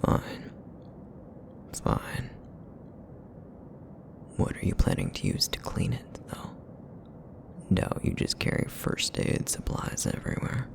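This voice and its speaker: Man acting a gravelly, whispery, brooding supernatural predator. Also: huge pitch swings, takes it slowly, pauses often, heavily acted. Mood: guarded, grudging. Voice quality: raspy, rumbling, breathy low murmur. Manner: terse, brooding, clipped phrases. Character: broody, feral male essence eater, guarded and grudging. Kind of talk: ASMR roleplay audio acting.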